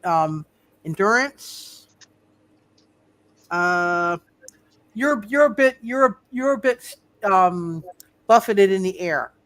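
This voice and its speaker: Game master, medium pitch, hesitant and repetitive delivery, refereeing live roleplay. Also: animated, a bit bright, dry recording. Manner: halting, repeats phrases.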